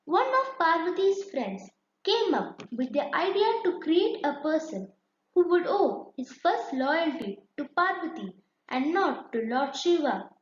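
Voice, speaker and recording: A woman narrating a children's story in an expressive, deliberate storytelling style. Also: high voice. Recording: roomy echo, dull lo-fi sound.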